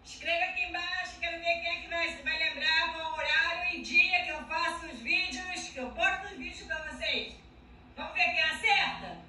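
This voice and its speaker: A woman's voice: sits high, bright, forceful tone. A woman with a Brazilian Portuguese accent hosting a juice vlog from her home kitchen, talking to her followers in an affectionate, chatty way. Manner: affectionate, chatty, addresses viewers directly. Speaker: juice channel host. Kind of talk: casual cooking vlog to followers. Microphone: camera mic at a little distance. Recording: roomy kitchen, mic a bit far.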